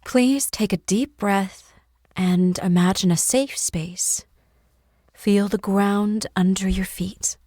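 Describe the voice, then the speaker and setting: An actress playing a polite clinical guide in a video game, giving formal, measured, soothing instructions. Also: female voice, medium pitch, steady tone, mellow, dry recording, clean studio sound. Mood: calm, clinical. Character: polite clinical female guide running a memory synchronization test. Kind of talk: scripted game dialogue, procedural instructions. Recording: clear vehicle and ambient noise behind.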